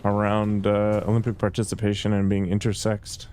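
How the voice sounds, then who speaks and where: A man's voice: lowish voice, completely flat delivery, normal speed, darkish tone, a little hiss or hum. A man chatting casually as he streams a game, musing aloud with fillers and trailing thoughts. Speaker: let's play streamer. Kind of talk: casual gameplay commentary.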